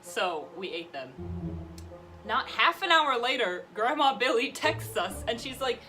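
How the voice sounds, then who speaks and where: Young woman, very lively pitch, casual animated vlog storyteller, music playing clearly underneath. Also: a little slow. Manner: animated, acts out quotes. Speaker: vlogger. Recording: music behind the voice.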